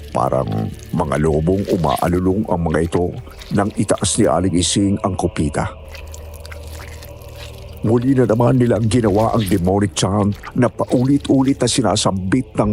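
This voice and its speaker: A man's voice: low voice, a little mellow. A man narrating a scripted first-person horror story.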